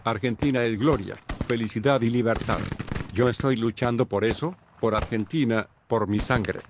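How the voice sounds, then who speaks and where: A man's voice: medium pitch, moderate pace, close to an everyday voice. Man as a patriotic soldier amid gunfire noise. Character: patriotic Argentine soldier fighting for his country. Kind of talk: scripted war-zone interview answer.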